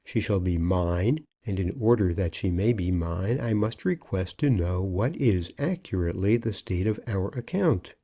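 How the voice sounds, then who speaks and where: Man playing an earnest, pompous junior partner, lively pitch. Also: normal speed, hardly any pauses. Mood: earnest, demanding. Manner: declamatory, formal, insistent. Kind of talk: audiobook character acting.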